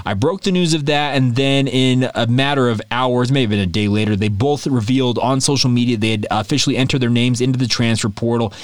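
A man's voice: mid-range voice, fairly quick, hardly any pauses, no room sound. A man in his thirties hosting a daily sports news podcast in a chatty, informal way with rambling asides, his voice a bit congested from allergies. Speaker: podcast host.

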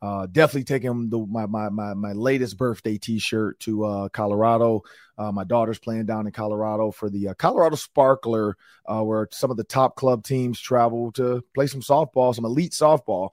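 A middle-aged man hosting a sports podcast, talking casually in a rambling way, his voice a little raspy from shouting. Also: lowish voice, fairly even intonation, moderate pace, dry recording, clean studio sound. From Minnesota, US. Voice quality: slightly raspy. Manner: chatty, rambling with fillers and restarts. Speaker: podcast host.

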